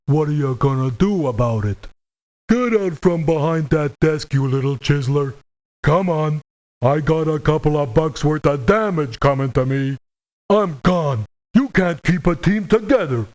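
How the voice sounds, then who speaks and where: A man's voice: a little high, darkish tone. A man voicing a grumpy, combative video game mercenary who talks in terse, colloquial, confrontational lines. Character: grumpy, combative male mercenary, stubborn and folksy. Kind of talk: video game character voice acting.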